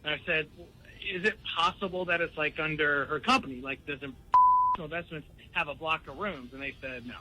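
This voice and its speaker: A man's voice: on the high side, moderate pace, rough recording. A man calling in to a radio show, talking about a personal grievance in everyday conversational speech. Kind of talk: recounting and confronting in a phone call.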